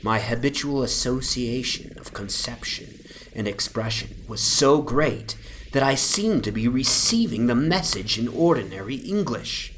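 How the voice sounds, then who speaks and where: A man giving a solemn, deliberate dramatic narration of a literary horror story over an ambient soundscape. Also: mid-range voice, lively intonation, a bit bright, slight background noise, rough recording.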